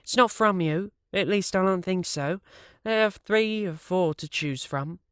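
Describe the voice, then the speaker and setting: A man voicing a brash, pushy young woman who talks loudly and cheekily. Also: high-pitched, unhurried, clean tone, no echo, studio quality, pitched above the performer's own voice. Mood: cheeky. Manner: loud, chasing, cheeky.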